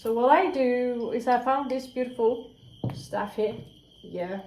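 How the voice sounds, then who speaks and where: A woman's voice: a little high, unhurried, a little mellow, slight background noise. A woman giving a casual tarot reading, candid and chatty, swearing freely and dropping into whispered asides. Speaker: tarot reader. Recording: roomy echo, faint crickets.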